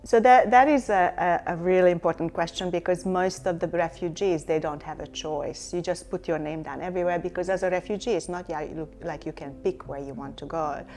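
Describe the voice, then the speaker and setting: A woman's voice: fairly low pitch, brisk, hardly any pauses, a little mellow. A middle-aged woman from Hungary, a company chief executive answering interview questions in a reflective way, with many fillers and restarts. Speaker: company CEO, guest.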